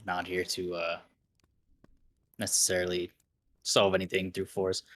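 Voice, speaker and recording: A man voicing a stern male personal bodyguard who speaks firmly and deliberately. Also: low voice, monotone, a bit bright.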